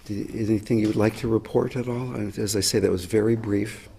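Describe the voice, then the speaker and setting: A man leading a meditation workshop, talking to a group in a low, easy voice. He pauses often and lets his thoughts wander. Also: creaky. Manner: slow, reflective, low relaxed voice. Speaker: meditation teacher.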